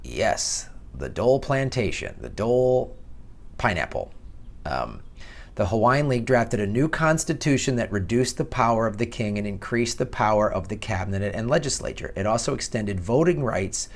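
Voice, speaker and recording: A man with a Midwestern accent talks in a loose, conversational way, reading from an article and stopping for sarcastic asides. His voice sits a little low. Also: animated, moderate pace. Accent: Midwestern American. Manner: chatty, sarcastic asides with laughs. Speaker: comedian and political commentator. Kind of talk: casual commentary reading an article. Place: outdoors in Hawaii.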